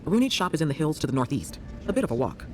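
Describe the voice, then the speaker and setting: An actress playing a brisk, caring female healer in a fantasy video game, inquisitive and expressive. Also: low-pitched, faint music behind. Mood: earnest, advisory.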